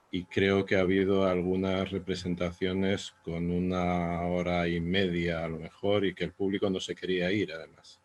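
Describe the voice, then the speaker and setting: Male playwright, native Spanish speaker with a Spanish accent, very deep flat voice, halting delivery in a Q&A. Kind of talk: post-show Q&A talk.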